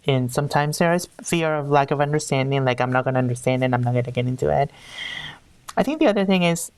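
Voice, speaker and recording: A woman's voice: very deep voice, fast talker, darkish tone, clear, resonant tone, no room sound. A woman from Peru, an HR executive speaking earnestly as an interview guest, often restarting her sentences. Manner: earnest, searching for words with restarts. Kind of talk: conversational interview.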